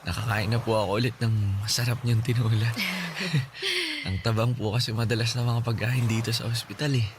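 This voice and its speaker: A man playing a gentle, brave, sick young son in a Filipino radio drama, polite and earnest. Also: mid-range voice, sing-song, normal speed, natural voice. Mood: grateful, cheerful. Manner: polite, soft-hearted, earnest. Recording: noticeable background noise.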